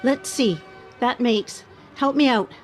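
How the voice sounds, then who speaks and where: A woman's voice: on the high side, slow pace, faint music behind. An actress playing a prim woman who savours every word, in a radio play. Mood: triumphant. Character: prim, slow-spoken woman, savouring her small triumphs.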